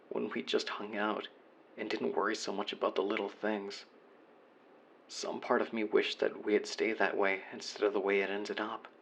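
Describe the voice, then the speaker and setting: A man's voice: fairly low pitch, a bit bright, slight background noise. A man playing a remorseful young man leaving an apologetic voicemail to his former best friend, earnest and confessional in an audio roleplay monologue. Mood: wistful, sincere.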